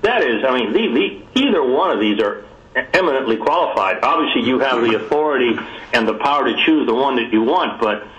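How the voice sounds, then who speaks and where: A man with a medium-pitched voice speaks on an echoey telephone conference. He sets out his argument formally and deliberately, pausing and repeating words as he goes. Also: animated, a bit bright, lo-fi sound. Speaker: committee call participant. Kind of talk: conference call discussion.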